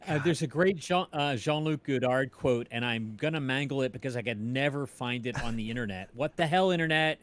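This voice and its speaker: A man's voice: mid-range voice, a little fast, hardly any pauses, dry recording. Man in casual panel discussion. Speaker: podcast creator. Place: remote video call.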